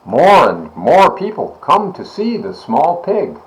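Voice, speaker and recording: A man reading a children's storybook aloud in an expressive, deliberate manner. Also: fairly high pitch, slight background noise, low-quality recording. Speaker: volunteer story reader, library board member.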